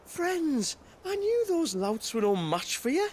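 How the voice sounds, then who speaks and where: An actor playing a cocky, glib rogue posing as a merchant in a game cutscene, speaking in a sardonic, smooth-talking manner. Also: male voice, very high-pitched, big pitch swings, slow pace, darkish tone, a little hiss or hum, full character voice. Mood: slippery. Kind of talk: acted game cutscene dialogue.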